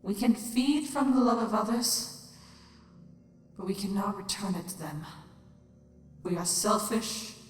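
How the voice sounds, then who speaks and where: A woman playing a menacing, bitter, weary changeling queen in an audio drama, slow and theatrical, often sinking toward a whisper. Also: medium pitch, natural voice. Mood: proud, wistful. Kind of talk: audio drama acting of fan fiction. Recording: very echoey, long hall reverb. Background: music.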